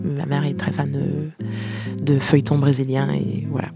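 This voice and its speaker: Québécois woman in her 30s, gravelly grain, chatty with frequent laughs, music playing behind. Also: low-pitched, completely flat delivery, normal speed. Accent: Québécois French. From Quebec, Canada. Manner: chatty, laughs often, hums tunes. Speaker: singer-songwriter interviewee. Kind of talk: casual recounting of memories.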